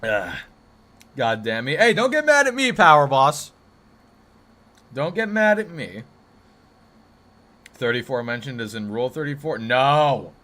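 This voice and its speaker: A young man of twenty with a deep voice that still cuts through with a bright, forceful edge. He hosts a live stream and talks casually, bantering with his chat and defending himself in a joking, rambling way. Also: big pitch swings, a little slow, pauses often. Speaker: livestream host.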